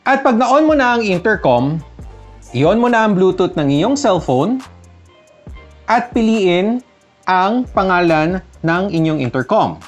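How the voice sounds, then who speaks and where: A woman's voice: medium pitch, big pitch swings, takes it slowly, pauses often, soft background music. A Filipino woman vlogger narrating a casual step-by-step tutorial. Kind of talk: tutorial narration mixing casual and instructional talk.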